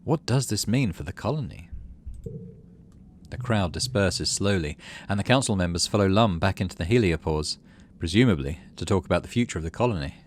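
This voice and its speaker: A man reading a game's story script aloud as expressive narration for a gaming channel. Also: sits low, fairly quick, slight background noise.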